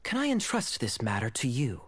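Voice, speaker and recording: An actor playing a wry young cavalry captain in a video game, smooth and polished in manner. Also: male voice, medium pitch, huge pitch swings, moderate pace, no echo. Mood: suave, wry.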